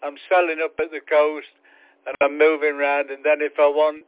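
Man, radio ham, easygoing chat, higher voice; dry, tinny signal. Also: monotone, normal speed, clear, resonant tone, dry recording. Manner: easygoing, technical, jokey.

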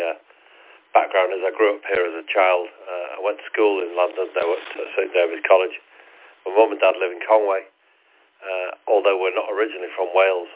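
A man's voice: low voice, husky. A man in his fifties chatting casually over amateur radio, easygoing and hesitant with many fillers. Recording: tinny radio link.

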